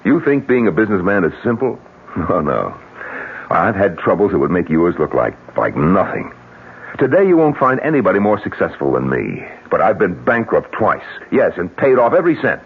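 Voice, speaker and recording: Deep, husky, blunt businessman. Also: sing-song, normal speed, heavily acted. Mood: challenging. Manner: forceful, emphatic, rhetorical. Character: blunt, combative, practical businessman, bereaved husband. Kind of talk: old-time radio drama acting. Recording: tinny, narrow old radio recording.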